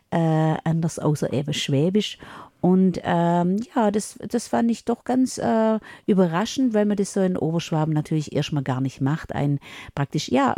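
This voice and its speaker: A middle-aged woman with an Upper Swabian accent, a radio guest chatting casually and pausing often on fillers. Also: deep voice, warm tone, dry recording, studio quality. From Upper Swabia, Germany. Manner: chatty, hesitant with many fillers.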